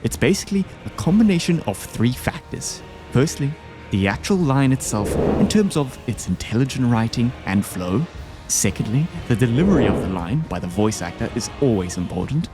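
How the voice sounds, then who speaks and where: Man, mid-pitched, animated, casual commentary, slangy, opinionated, faint background music. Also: moderate pace. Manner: informal, slangy. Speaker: video host ranking game bosses.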